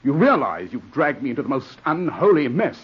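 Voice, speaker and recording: A man's voice: medium pitch, sing-song, fairly quick, husky. An actor in a 1940s radio drama playing a stern, ambitious barrister, a cold, self-serving man with a clipped, commanding delivery. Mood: angry, scornful. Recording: muffled vintage 1940s radio recording.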